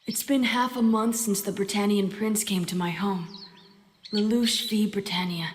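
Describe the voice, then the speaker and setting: A woman's voice: medium pitch, steady tone, normal speed, slight background noise, natural voice. A woman voicing an indignant, hot-tempered young boy who acts and narrates his memories in an audio drama. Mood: reflective narration. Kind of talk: audio drama acting with first-person narration. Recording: long reverb, music behind the voice. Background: background music.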